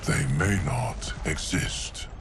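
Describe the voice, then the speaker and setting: An actor playing a solemn, loyal, ancient guardian of time in a video game, speaking in a measured, formal way. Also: male voice, a bass voice, steady tone, gravelly, noisy background, heavily acted.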